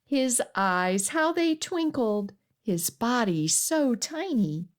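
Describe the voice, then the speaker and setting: A woman hosting a children's storytime and reading a picture book aloud in an expressive, deliberate way. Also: on the high side. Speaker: children's librarian and storytime host.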